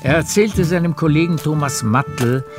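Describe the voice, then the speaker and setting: An actor narrating a literary radio play as a calm storyteller, in a measured, precise way with a gravelly voice. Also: male voice, on the high side, music in the background, natural voice.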